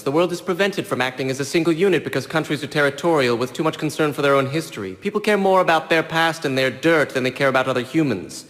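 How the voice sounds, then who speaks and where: An actor playing a haughty, eccentric young genius villain in a video game, with a light youthful voice and precise, wordy, theatrical diction. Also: male voice, fairly high pitch, a bit bright, echoey room, lightly acted. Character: small, prim, eccentric genius villain, smug and condescending.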